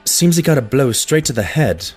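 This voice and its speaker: A man's voice: mid-range voice, sing-song, moderate pace, darkish tone, soft background music, natural voice. A man voicing an earnest, flustered pony doctor, the concerned sidekick to a detective in an audio drama.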